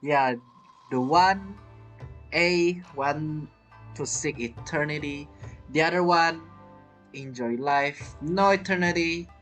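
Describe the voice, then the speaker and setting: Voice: mid-range voice, takes it slowly, pauses often, fairly bright tone. A streamer giving casual, dramatic gameplay commentary, reacting to the story and often repeating phrases. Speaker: streamer playing a game. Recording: game music behind.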